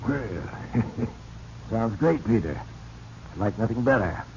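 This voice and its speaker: A man's voice: fairly low pitch, lively intonation, takes it slowly, mellow. An actor playing a hearty, boastful older businessman in a 1940s radio drama, with a jovial, avuncular manner. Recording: dull, noisy 1940s radio recording.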